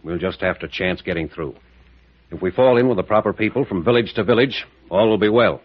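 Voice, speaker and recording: A man's voice: low-pitched, fairly quick. A man playing a tough, decisive captain with a clipped, commanding manner in a 1940s-style radio adventure, on a dull old recording. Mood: resolute, plotting.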